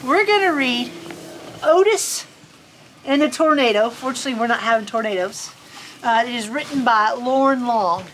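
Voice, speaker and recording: A woman's voice: on the high side, expressive, moderate pace, a bit bright, poor audio. A woman reads a children's storybook in a folksy, playful way, chatting in casual asides as she hosts. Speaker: farm storyteller host. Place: outdoors on a farm in cold weather. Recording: outdoor farm ambience, background noise. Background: faint outdoor ambient noise.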